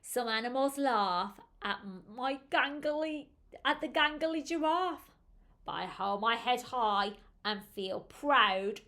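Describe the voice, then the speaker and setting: A woman's voice: fairly high pitch, expressive, slow pace, sharp tone, full character voice. A woman reading as a proud, gangly giraffe in a children's storybook, with a haughty lilt. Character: proud, gangly giraffe holding head high.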